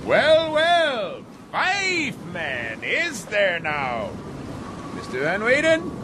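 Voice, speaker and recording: An actor playing a commanding, wry, sardonic sea captain in a radio drama. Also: male voice, high voice, huge pitch swings, exaggerated voice. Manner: commanding, wry, drawn-out delivery. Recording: loud wind and sea ambience.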